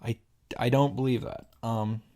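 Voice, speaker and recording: A man's voice: mid-range voice, steady tone, unhurried, dark tone. A man reading a visual novel aloud and reacting casually, often restarting and repeating himself as he goes.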